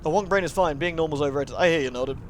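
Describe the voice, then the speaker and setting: A man's voice: on the high side, racing, noisy background. A man livestreaming a game, chatting casually with viewers and reading aloud. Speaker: game streamer.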